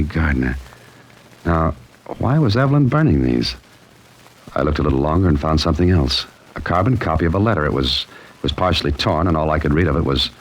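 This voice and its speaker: An actor playing a wry, cool-headed amateur sleuth, with dry, clipped delivery in the style of an old-time radio mystery. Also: male voice, rumbling low, breathy edge, heavily acted, rough recording. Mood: intent, puzzling. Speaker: narrator and lead character. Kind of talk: old-time radio mystery acting, hardboiled first-person narration.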